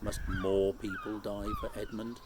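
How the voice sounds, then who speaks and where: A man voicing a grave, commanding great lion in a storybook, speaking in a solemn, measured way. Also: lowish voice, huge pitch swings, very noisy. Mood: grave, reproachful. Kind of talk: storybook character voice.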